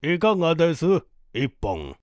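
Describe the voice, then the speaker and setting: A man voicing a genial, dignified older gentleman with a measured, courteous manner in a story reading. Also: a little high, huge pitch swings, no echo, studio quality. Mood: hospitable.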